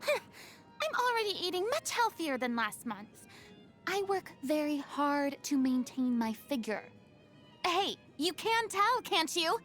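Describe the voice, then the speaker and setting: A woman's voice: high voice, fairly bright tone, full character voice. A woman voicing a vain, dramatic young celebrity performer in a video game, with a pompous, theatrical manner. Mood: coy. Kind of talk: video game character voice lines.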